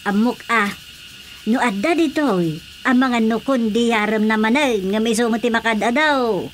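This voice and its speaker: A woman's voice: on the high side, normal speed, a little hiss or hum, natural voice. A woman playing a gossipy older village confidante in a Filipino radio drama comedy, teasing and exclaiming.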